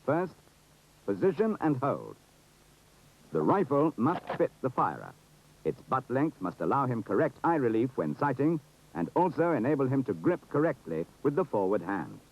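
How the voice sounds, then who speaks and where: A man's voice: medium pitch, very animated, normal speed, slight background noise. A British man giving formal, precise, authoritative scripted narration for an instructional film. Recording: dull, muffled 1970s film soundtrack.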